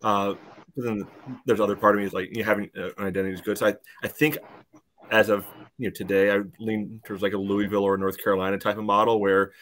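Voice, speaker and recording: Low-voiced American man chatting about sports. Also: little pitch movement, fast talker, fairly bright tone, a little hiss or hum. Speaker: soccer podcast panelist. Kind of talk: casual sports podcast discussion.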